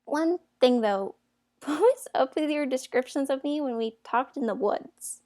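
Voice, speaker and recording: A woman's voice: high voice, unhurried, a little mellow. A young woman playing a guarded but feisty and sincere young heroine in a fairytale audio drama. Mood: teasing, exasperated.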